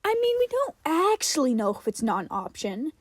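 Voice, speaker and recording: Narrator playing a combative, high-voiced angry woman. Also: huge pitch swings, normal speed, no echo. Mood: defiant. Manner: blunt, defiant. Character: extraordinarily angry tiny woman, combative and foul-mouthed.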